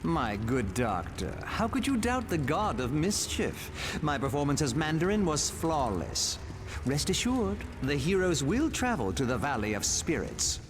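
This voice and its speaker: An actor playing a vain, scheming god of mischief in a video game, theatrical, silky and sneering. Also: male voice, on the high side, big pitch swings, a little slow, big-room sound, over music. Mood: smug, mocking. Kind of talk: video game villain acting.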